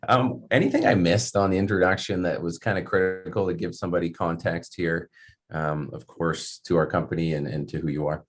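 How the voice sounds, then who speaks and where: A man's voice: low voice, steady tone, brisk. A man hosting a podcast, chatting casually and rambling with lots of fillers and restarts. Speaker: podcast host. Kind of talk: casual interview chat.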